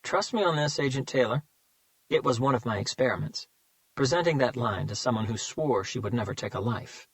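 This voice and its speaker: A man's voice: medium pitch, lively intonation, no echo, close to an everyday voice, low-quality recording. A man voicing a smug male serial killer who mocks and savors his words, in an audiobook reading. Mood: smug, taunting. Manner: mocking, slow and savoring.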